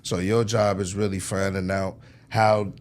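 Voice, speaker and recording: A man's voice: low voice, level tone, measured pace. A man speaking as a music executive in a casual interview, in slangy talk peppered with "you know" and "man".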